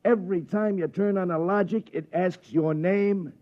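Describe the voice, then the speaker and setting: An actor playing an exasperated police sergeant who talks brusquely and mocks, in a 1950s radio drama. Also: male voice, high-pitched, normal speed, muffled recording, lightly acted. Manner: brusque, mocking.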